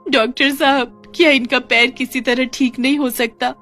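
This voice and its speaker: A woman's voice: high voice, fairly even intonation, normal speed, sharp tone, faint music behind, pitched above the performer's own voice. A woman voicing a worried, devoted poor mother in a cartoon moral story. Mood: pleading.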